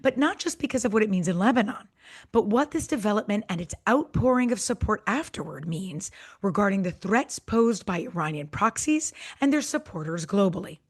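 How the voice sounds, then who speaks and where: A woman co-hosting a policy podcast, a little hoarse and raspy from losing her voice, talking in an earnest, articulate way. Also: medium pitch, animated, a little fast. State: slightly hoarse, voice partly lost. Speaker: podcast co-host. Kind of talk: conversational podcast interview.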